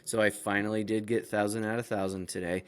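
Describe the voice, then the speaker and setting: A man's voice: lowish voice, level tone, fast, clear, resonant tone. A man co-hosting a casual gaming podcast, speaking earnestly and repeating phrases for emphasis. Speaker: podcast co-host.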